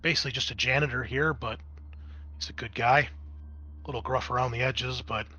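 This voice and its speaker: A man's voice: mid-range voice, fairly even intonation, normal speed, pushed and bright, slightly rough, highs cut off. A man narrating a tabletop horror game as game master, improvising in a conversational, explanatory way.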